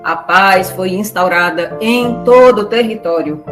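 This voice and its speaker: Woman narrating over clear music. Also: medium pitch, a little slow. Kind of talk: scripted radio drama narration.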